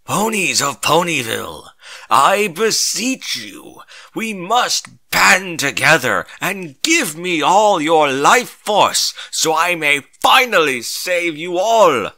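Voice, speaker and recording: Man acting as heroic male pony, raised forceful voice, grandiose, theatrical, comedic storybook savior. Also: big pitch swings, exaggerated voice. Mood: gallant, booming. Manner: booming, declamatory, drawn-out delivery. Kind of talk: comedic fan-fiction story reading.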